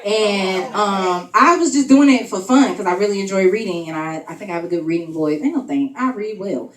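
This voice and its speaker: A woman in her late twenties performs spoken-word poetry and chats playfully with the audience in between. Her voice sits at an ordinary pitch for a woman. It sounds far from the mic and echoes around the room. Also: fairly quick. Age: 20s. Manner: chatty and playful with the audience, laughing between bits. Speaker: spotlight poet at an open mic. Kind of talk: spoken-word poetry and casual stage chat. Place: event venue room.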